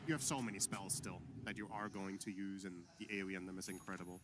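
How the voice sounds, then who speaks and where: A man's voice: sits low, fairly even intonation, fast talker, very noisy. Analytical man doing esports color commentary. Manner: analytical, explains item choices.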